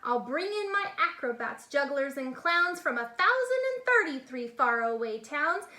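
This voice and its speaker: A woman's voice: high-pitched, sing-song, normal speed, bright, forceful tone, heavily acted. A woman voicing a boastful, eager young boy who plays circus showman in a rhyming storybook, with grandiose singsong delivery. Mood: grand, boastful. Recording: echoey, reverberant room sound.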